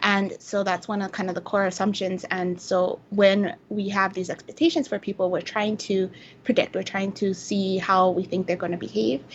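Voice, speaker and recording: A woman from Hawaii speaking as a researcher guest, explaining things casually in a halting, thoughtful way on a lo-fi recording. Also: medium pitch, steady tone. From Hawaii, United States. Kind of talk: casual explanatory interview answers. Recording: dull lo-fi sound with faint noise.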